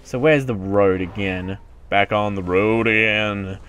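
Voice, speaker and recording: A man's voice: on the low side, fairly even intonation, a little slow, fairly bright tone, clean tone. A man giving casual let's play commentary as a gaming YouTuber, rambling and self-mocking, often muttering to himself.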